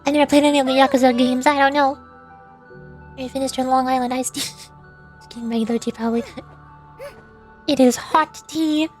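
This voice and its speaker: A woman with a high-pitched voice chats casually as she streams a game. She reads things aloud and stumbles over difficult words. Soft music plays behind her. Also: fairly even intonation, brisk, pauses often. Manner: chatty, stumbles over hard names, reading chat aloud. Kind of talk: casual livestream chat while gaming.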